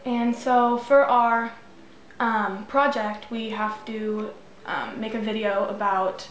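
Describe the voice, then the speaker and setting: Teen girl, hesitant, lots of ums, slow, fairly high, echoey room. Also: fairly even intonation, slight background noise. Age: teens. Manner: hesitant, many ums and nervous laughs. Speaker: student interviewer. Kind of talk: polite phone call and interview questions. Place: indoor room. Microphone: camera across the room. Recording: echoey room, faint music.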